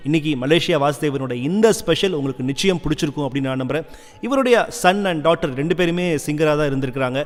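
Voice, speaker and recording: High-voiced male radio host, reverberant. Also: animated, fast. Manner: fluent, conversational, mixes in English words. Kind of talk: radio presenting between classic songs. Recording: long reverb on the voice.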